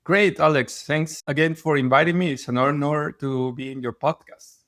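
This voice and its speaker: Halting man from Peru, dry close sound. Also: on the high side, fairly even intonation, fairly bright tone, no room sound. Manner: halting, with restarts and non-native phrasing. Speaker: guest physician. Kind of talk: casual podcast interview.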